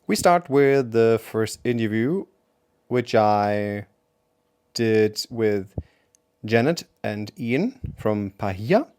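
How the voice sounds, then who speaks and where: Smooth low-voiced German man hosting. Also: expressive, slow, darkish tone. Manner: hesitant, searching for words with many fillers. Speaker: podcast host. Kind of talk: casual interview.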